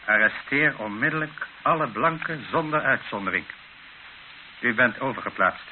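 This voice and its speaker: Man playing a courteous resigned middle-aged dad in an old radio drama, medium pitch, muffled sound. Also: expressive, moderate pace, noisy background, natural voice. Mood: tense, grave. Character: resigned, courteous colonial father, Monsieur Moreau. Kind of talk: radio play acting.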